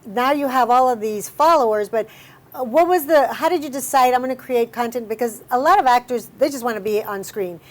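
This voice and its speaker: Woman co-host asking questions, halting, uh and um, highish voice. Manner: conversational, halting with fillers. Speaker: talk show co-host. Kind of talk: interviewing a guest.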